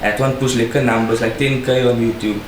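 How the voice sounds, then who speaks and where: A man's voice: mid-range voice, steady tone, fairly quick. A young man from South Africa with a Cape Town accent, a rapper talking casually as a podcast guest, slangy and laughing often. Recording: echoey room with noise.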